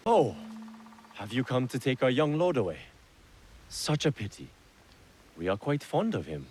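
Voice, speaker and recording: An actor playing a sly, deferential shrine servant, smoothly polite with a faintly mocking edge. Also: male voice, mid-range voice, big pitch swings, a little slow, a little mellow, a little hiss or hum. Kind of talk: video game cutscene acting.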